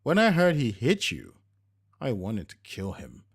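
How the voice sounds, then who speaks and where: A man's voice: medium pitch, huge pitch swings, measured pace, gravelly, husky, pitched above the performer's own voice, heavily acted. A man voicing a playful, affectionate middle-aged wife who teases, in a narrated story. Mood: earnest.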